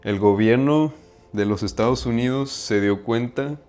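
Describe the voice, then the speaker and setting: A man's voice: medium pitch, measured pace, darkish tone, faint music behind. A man from Mexico with a Mexican accent, talking casually to his viewers about self-improvement, often tagging his sentences with a questioning "right?" and using slang. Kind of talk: casual monologue to camera.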